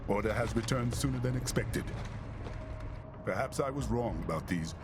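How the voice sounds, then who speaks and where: An actor playing an older, dignified prime minister in a video game, speaking in a measured, formal, wry way. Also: male voice, mid-range voice, expressive, background noise, lightly acted. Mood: gracious, reflective. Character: male African prime minister, older, dignified, gracious statesman. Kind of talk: video game cutscene acting. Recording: loud music over the dialogue.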